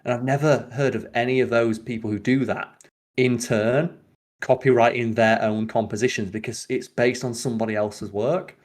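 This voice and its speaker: A man chatting casually as a podcast host, opinionated and given to sweary, animated rants. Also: mid-range voice, normal speed.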